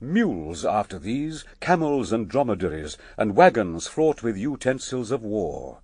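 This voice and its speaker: Husky, slow male narrator. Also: fairly low pitch, sing-song, darkish tone, no echo, clean studio sound. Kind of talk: dramatic epic verse narration for radio.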